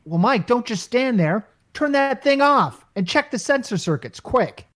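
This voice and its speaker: A woman's voice: on the high side, expressive, a little slow, background noise. A woman playing a wisecracking, easily alarmed male office worker in an old-time radio comedy, colloquial and urgent.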